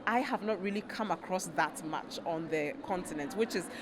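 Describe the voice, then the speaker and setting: A woman from Ghana, a researcher and panel guest, speaking in a measured, thoughtful way with frequent fillers. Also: on the low side, noisy background. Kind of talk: panel conversation.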